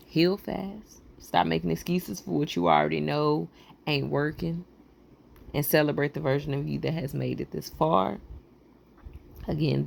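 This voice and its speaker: A sleep-deprived woman with a hoarse, deeply gravelly voice hosts her own motivational podcast. She rambles casually and encouragingly, with a raspy, froggy edge to every word. A faint hiss sits under the recording. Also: a little mellow. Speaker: podcast host.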